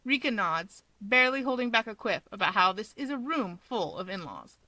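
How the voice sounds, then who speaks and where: A woman's voice: medium pitch, animated, moderate pace, sharp tone, no room sound, rough recording. A woman narrating scripted fiction expressively as a storyteller.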